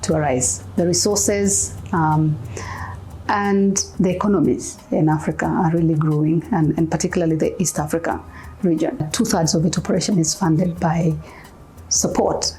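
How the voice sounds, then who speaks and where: A woman's voice: low-pitched, fairly even intonation, dark tone, a little hiss or hum, low-quality recording. A woman from Kenya speaking earnestly in a documentary interview about mission work. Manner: earnest, measured, appealing. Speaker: mission organization leader.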